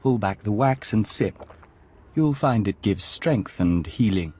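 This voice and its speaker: A serene male envoy of an alien insect hive in a sci-fi game. His voice sits on the low side, and he speaks in measured, formal phrases with unfailing courtesy, as one of many. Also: expressive, a little mellow, slightly rough. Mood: gently reassuring. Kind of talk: video game voice acting.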